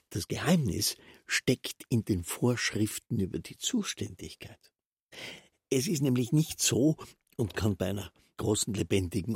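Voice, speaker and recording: A self-absorbed official from a radio play, rambling softly with quiet fervour. The voice is very husky and creaky, recorded dry and close. He explains at length, thoroughly pleased with himself. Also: lowish voice, dry recording, full character voice. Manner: soft, self-absorbed, long-winded explaining. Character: rambling, self-satisfied male castle official, quietly fervent. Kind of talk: literary radio drama monologue.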